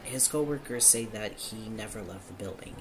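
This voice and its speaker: Low-voiced, awkward police officer played by a man. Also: moderate pace, creaky, over music. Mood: sheepish, somber. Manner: awkward, hesitant, apologetic. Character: nervous, awkward male small-town police officer investigating murders. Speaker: police officer character in audio roleplay. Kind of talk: audio roleplay acting, one-sided dialogue.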